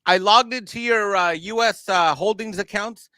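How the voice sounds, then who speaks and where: A man's voice: high voice, normal speed, bright, forceful tone, dry recording. A man chatting as a guest on a live stream panel, with a bantering, sarcastic manner. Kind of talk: casual chat and commentary.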